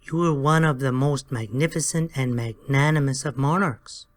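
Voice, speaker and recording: A woman's voice: rumbling low, moderate pace. A woman voicing a small, timid silver court jester in a dramatized audiobook, deferential and sing-song in rhymes.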